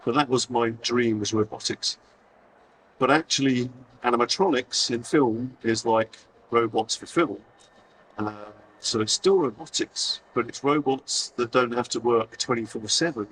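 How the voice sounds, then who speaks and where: Hoarse middle-aged man, distant mic. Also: mid-range voice, measured pace, a bit bright, a little hiss or hum. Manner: informal, self-deprecating, lots of fillers and restarts. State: hoarse, losing his voice. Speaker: conference speaker. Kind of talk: live talk to an audience. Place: convention hall stage.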